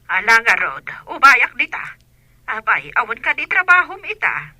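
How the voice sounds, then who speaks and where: A woman's voice: fairly high pitch, very animated, normal speed, sharp tone, no room sound, full character voice. A woman playing a mother talking to her grown son through a telephone effect in an audio drama. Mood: concerned. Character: mother answering her grown son's phone call. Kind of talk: dramatic phone-call dialogue.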